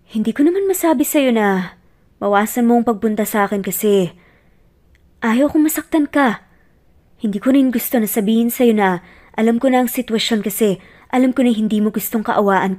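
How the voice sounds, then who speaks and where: A woman voicing a gentle, remorseful man pleading earnestly with his former girlfriend in a romance audio story. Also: medium pitch, natural voice.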